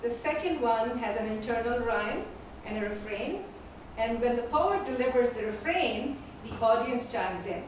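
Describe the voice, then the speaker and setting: A woman whose first language is Urdu, reading her poems slowly and repeating each first line at a live poetry event. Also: a little high, animated, slight background noise. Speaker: featured poet. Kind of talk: poetry reading with spoken introductions. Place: performing arts venue. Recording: echoey hall from far off.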